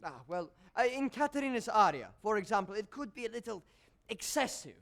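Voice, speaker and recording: An actor on a theatre stage playing a jealous, courtly male composer, formal and measured, with bitterness under the politeness. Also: high voice, expressive, creaky, fairly bright tone, heavily acted. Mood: guarded. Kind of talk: theatrical stage acting with dramatic monologue.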